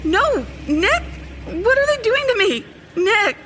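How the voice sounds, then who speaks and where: A woman playing an excitable, frantic teenage girl graduate in a horror audio drama. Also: very high-pitched, slow, heavily acted. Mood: terrified pleading. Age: young adult. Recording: long hall reverb, music underneath.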